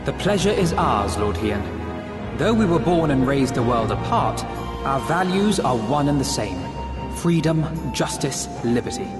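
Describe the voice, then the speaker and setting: A man's voice: mid-range voice, sing-song, a little slow. An actor playing an earnest, idealistic young nobleman in a fantasy game, courteous and eloquent. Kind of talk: formal fantasy game dialogue. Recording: echoey, music behind.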